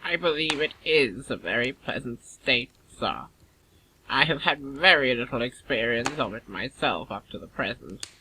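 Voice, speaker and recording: Male actor as dry, deferential English butler in period comedy: very sharp bright voice, formal wording, faint noise behind. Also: medium pitch, low-quality recording. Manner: formal, measured, deadpan. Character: deferential, deadpan English manservant, dryly formal. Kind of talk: audio play acting of Victorian comedy of manners.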